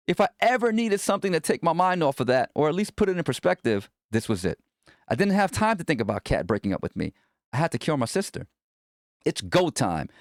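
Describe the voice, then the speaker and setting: A man's voice: a little high, no echo, clean studio sound. A man reading a first-person memoir aloud in a conversational, blunt and wry way. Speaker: narrator reading a book chapter aloud.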